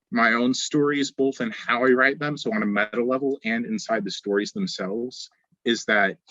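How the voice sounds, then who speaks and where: A man's voice: mid-range voice, bright, forceful tone, no echo, highs cut off. A man speaking in an earnest, reflective way as a writer discussing his own stories. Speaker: storyteller and writer.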